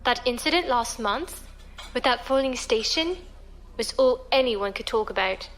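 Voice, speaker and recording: Sweet earnest lady passenger on a pirate ship, high voice, takes her time, sci-fi radio play. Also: lively intonation, unhurried, fairly bright tone, slight background noise, poor audio. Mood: earnest, determined. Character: earnest, tender-hearted female passenger among space pirates. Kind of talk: science fiction audio drama acting.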